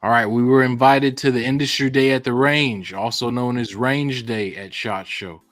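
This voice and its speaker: A man's voice: mid-range voice, fairly even intonation, moderate pace, fairly bright tone, no echo. A man hosting a podcast, upbeat in his announcements and casual in conversation, calling his guest bro. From United States. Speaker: podcast host.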